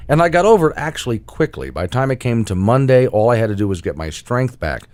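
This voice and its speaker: A man's voice: medium pitch, very animated, quick pace, darkish tone, dry recording. A man hosting a casual talk show, chatty and joking as he tells everyday stories. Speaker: show host.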